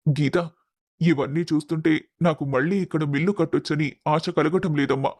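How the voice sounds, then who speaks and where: A man's voice: a little high, fairly even intonation, a little fast, a little mellow, no echo, lightly acted. A man playing an emotive, earnest middle-aged mill owner and devoted father in a dramatized moral story. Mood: despondent.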